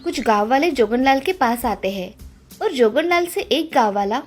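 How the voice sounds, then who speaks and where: A woman's voice: high-pitched, animated, moderate pace, soft background music. A woman narrating a scripted moral tale as storyteller.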